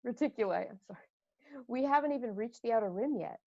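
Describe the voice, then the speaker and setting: A woman's voice: a little high, animated, fast talker, creaky, no room sound. A woman reading the part of a frustrated starship navigator in a script table read. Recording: dull, lo-fi sound.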